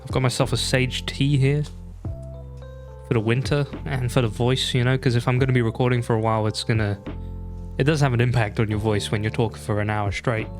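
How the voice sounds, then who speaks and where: A young man talks loosely over his gameplay, with faint music playing underneath. His voice sits in an ordinary middle pitch. He laughs easily and keeps slipping in self-mocking asides. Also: fairly even intonation, fairly quick. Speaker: gaming YouTuber. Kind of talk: casual let's-play commentary.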